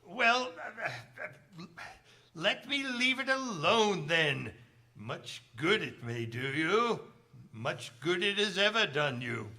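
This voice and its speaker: An actor in a stage radio play voicing a cantankerous old miser with a gruff, scornful, muttering manner. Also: male voice, sits high, sing-song, slow, bright, forceful tone, exaggerated voice. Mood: contemptuous. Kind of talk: stage radio drama acting.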